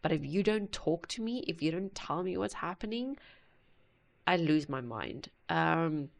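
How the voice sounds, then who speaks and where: A woman hosting a music reaction video, talking earnestly and haltingly with many pauses. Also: low voice, animated, vocal fry. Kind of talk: casual reaction commentary.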